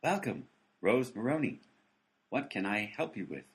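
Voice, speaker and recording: Man doing a malfunctioning phone assistant, very husky, low, bouncy canned prompts, comedy radio play. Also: moderate pace, exaggerated voice. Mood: robotic. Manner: flat scripted prompts, mishears every command. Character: glitchy male talking phone assistant, literal-minded.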